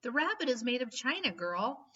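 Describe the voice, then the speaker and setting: A woman voicing an enormous bearded man who speaks in a formal, gently playful, courteous way in a storybook reading. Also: a little high, sing-song, moderate pace, husky, voice pushed up, full character voice. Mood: genial. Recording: dull, slightly distant recording.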